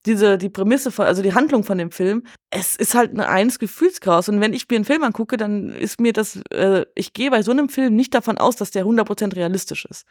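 A woman talks casually on a film podcast at a brisk pace. Her wording is colloquial and blunt, and the recording is dry, with very little room sound. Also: medium pitch, lively intonation, no echo. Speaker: podcast guest.

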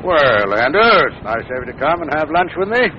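An actor playing a dignified older father in 1940s radio comedy, measured, wryly understated and gently amused. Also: on the high side, sing-song, music in the background. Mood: mildly pleased. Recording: very noisy, muffled 1940s radio recording.

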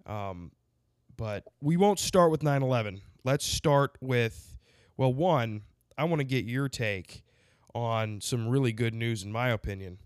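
A man's voice: medium pitch, expressive, measured pace, dry recording, studio quality. A man hosting a casual podcast interview while fighting a cold and a sinus infection. He rambles and jokes sarcastically, full of fillers and restarts. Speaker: podcast host.

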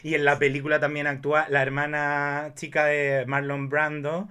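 A man's voice: a little high, monotone, normal speed, sharp tone, clean tone. A man chatting casually and effusively about films as a podcast guest, with a campy way of talking. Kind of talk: casual film chat.

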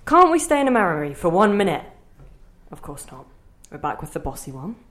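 Sardonic low inner voice. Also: female voice, very animated, brisk. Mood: wry, resigned. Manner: sardonic, conspiratorial, grumbling. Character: wry, meddling inner voice of confused old woman. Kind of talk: audio play acting.

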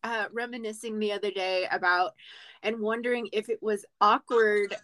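A woman co-hosting a relaxed podcast chat with a voice of middling pitch. She talks in a playful, sarcastic way and breaks into laughter often. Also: normal speed, rough recording. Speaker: podcast co-host. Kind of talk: casual chat.

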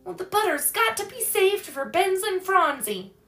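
A woman voicing an eager, earnest young girl in a storybook, speaking in a high, emphatic, pleading way. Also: sing-song, moderate pace, a bit bright, reverberant, recorded from a distance, soft background music, exaggerated voice. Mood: brisk, insistent.

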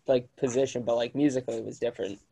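A young man in his early twenties chats loosely on a music podcast. His voice sits in an ordinary middle pitch, and he rambles through his points with plenty of fillers and acted-out asides. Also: fairly even intonation, very fast, creaky, mellow, breathy edge. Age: 20s. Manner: rambling, filler-heavy, drops into quoted asides. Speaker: podcast co-host. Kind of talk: casual chat.

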